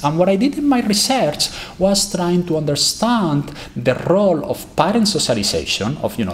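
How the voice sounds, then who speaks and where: Animated man at a podium. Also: lowish voice, slight background noise. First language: Spanish. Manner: animated, gesturing, emphatic. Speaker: lecturer. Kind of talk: academic conference talk. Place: lecture hall, at a podium. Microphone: podium microphone.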